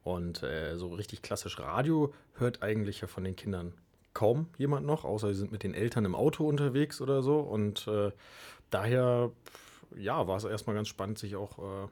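Guy with lowish slightly rough voice, thinking out loud in a radio chat, kinda hesitant. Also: normal speed. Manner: hesitant, reflective, hedging phrasing. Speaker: interview guest, radio club leader. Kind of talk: unscripted conversational interview.

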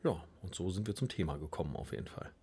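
Male studio guest, rough-edged lower voice, casual and hesitant conversational delivery. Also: expressive, quick pace, dark tone. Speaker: youth radio workshop leader, interview guest. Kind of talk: casual conversational interview answers. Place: radio studio.

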